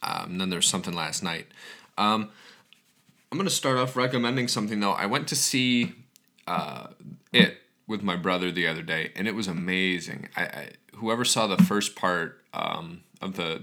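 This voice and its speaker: A young man talks through his week on a solo podcast, his voice still groggy from just waking up. His voice sits very low yet sounds bright and forward. He rambles freely, filling pauses with ums and restarting his sentences. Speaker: podcast host. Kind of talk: casual solo podcast talk.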